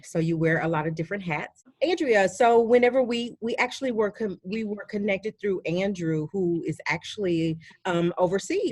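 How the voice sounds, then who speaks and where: A woman hosting an interview in an effusive, chatty way with many pauses and fillers. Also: on the low side, no room sound. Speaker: host. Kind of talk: warm upbeat interview chat.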